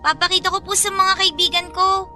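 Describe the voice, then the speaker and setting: A woman's voice: very high-pitched, fairly even intonation, a little fast, sharp tone, soft background music, full character voice. A woman playing an innocent, polite young Filipino schoolgirl with a childlike voice in an audio drama. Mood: eager, polite. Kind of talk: acted audio drama dialogue.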